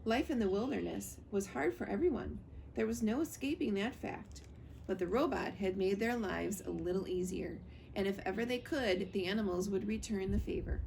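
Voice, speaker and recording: A woman's voice: medium pitch, moderate pace, creaky, darkish tone, slight background noise, poor audio. A woman reading a children's novel aloud as storybook narration.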